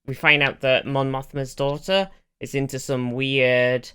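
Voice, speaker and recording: Casual sweary man with a clear, bright voice. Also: medium pitch, level tone, a little slow. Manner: sweary, informal. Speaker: podcast co-host. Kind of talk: casual chat about a TV series.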